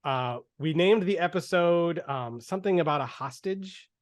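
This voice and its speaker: A man's voice: on the high side, moderate pace, dry recording, studio quality. A man co-hosting a podcast over a video call, chatting casually and laughing often. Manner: laughs often, restarts phrases. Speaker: podcast co-host. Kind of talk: casual chat.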